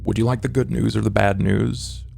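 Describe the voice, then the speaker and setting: A very deep, creaky voice for a courtly and macabre vampire fixer, acted by a man in a tabletop game. He speaks formally and ornately, in measured phrases, over music. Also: fairly even intonation, a little mellow. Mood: grave. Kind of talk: improvised tabletop roleplay drama.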